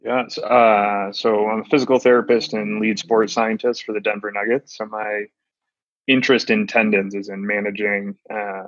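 Low flat-voiced man, dull dry audio. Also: normal speed, dry recording. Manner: flat, hesitant with uhs. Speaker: physical therapist and sports scientist. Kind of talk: panel podcast discussion.

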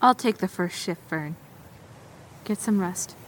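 A woman's voice: on the low side, a little slow, a little hiss or hum, natural voice, poor audio. A woman playing a tough, protective young survivor with an intense, earnest manner in an audio drama. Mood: softened, protective.

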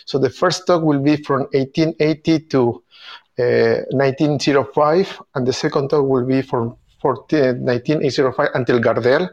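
A man's voice: medium pitch, darkish tone. A man from Argentina with an Argentinian accent, speaking English as a second language, enthusiastically hosting a casual chat about tango. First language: Spanish. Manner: enthusiastic, halting non-native phrasing with restarts. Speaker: tango event organizer and host. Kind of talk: casual group video chat.

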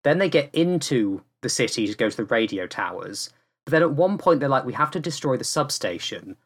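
A man's voice: medium pitch, big pitch swings, brisk, slightly rough. Rambling, conversational man on a podcast. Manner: hesitant, rambling phrasing with frequent "like". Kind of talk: casual podcast discussion.

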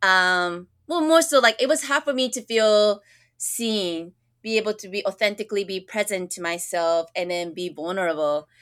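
Young woman from japan, bright clear voice, dry close mic, earnest and full of "like"s. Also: mid-range voice, moderate pace, no echo. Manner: earnest, many fillers and restarts. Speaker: school counselor guest. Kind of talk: casual interview conversation. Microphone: podcast microphone.

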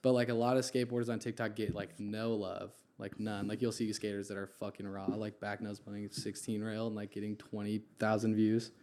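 A man's voice: fairly low pitch, flat delivery, brisk, hardly any pauses, darkish tone. A young man, a guest on a podcast, talking in a laid-back, slangy way with many fillers. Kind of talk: casual podcast chat.

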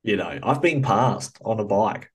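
A New Zealand-born man whose accent sounds Australian, hosting a casual podcast chat. His voice sits a little low, and he talks in an upbeat, chatty way. He laughs easily with his guest. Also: expressive, unhurried, breathy edge. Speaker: podcast host. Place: small cubby.

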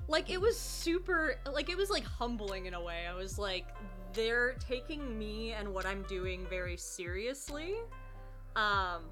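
A woman chats as a podcast guest in a mid-range voice. She is gushing and informal, with music clearly audible behind her. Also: mid-range voice, animated, measured pace. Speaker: podcast guest, audio drama creator. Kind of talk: casual podcast chat.

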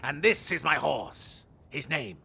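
An actor playing a blunt, reformed evil knight who talks brashly and turns awkward and hesitant. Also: male voice, on the high side, big pitch swings, slow, vocal fry, sharp tone, husky, exaggerated voice. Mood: grudging. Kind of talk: comedy audio drama acting.

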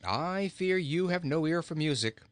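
An irrepressibly cheerful storybook king, put on by a man, lifted high and swooping merrily up and down. He teases in a chuckling, singsong way. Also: expressive, normal speed, no echo, lightly acted. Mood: jolly, teasing. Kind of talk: storybook character voice.

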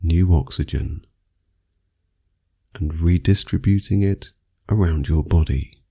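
A man guides a sleep meditation in a low, husky voice. He reads very slowly and soothingly, leaving long pauses between measured phrases. Music plays behind him. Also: warm tone.

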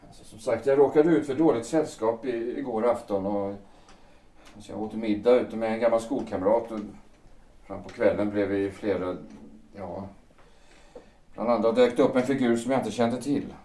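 An actor playing a melancholy, self-pitying writer of fifty in an audio drama, speaking haltingly and broodingly. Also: male voice, on the low side, warm tone, natural voice. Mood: confiding, uneasy. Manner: halting, brooding delivery with repeated words. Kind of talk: stage-play audio drama acting. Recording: roomy, echoing sound.

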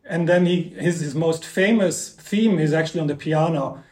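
A man's voice: fairly high pitch, moderate pace, a little mellow. A man whose first languages are Dutch and German, co-hosting a podcast and asking long, winding questions with many ums. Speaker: podcast co-host. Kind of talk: casual music discussion. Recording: echoey room.

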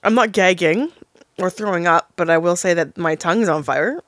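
A woman player in a tabletop game podcast, chatting casually with a loud voice and a giggly, self-deprecating manner. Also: on the low side, moderate pace, no room sound, studio quality. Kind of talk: casual chat.

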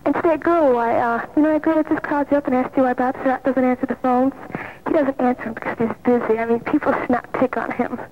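A woman's voice: sits high, steady tone, quick pace, hardly any pauses. A woman phoning in to a radio show, talkative and familiar in a casual way. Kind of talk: chatty casual phone call. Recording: tinny phone line on noisy radio.

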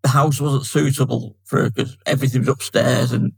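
An older man with a Manchester accent, chatting easily as a podcast guest and filling pauses with um. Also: medium pitch, level tone, creaky, a little mellow, husky. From Manchester, England. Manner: chatty, friendly, fills pauses with um. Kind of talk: casual chat.

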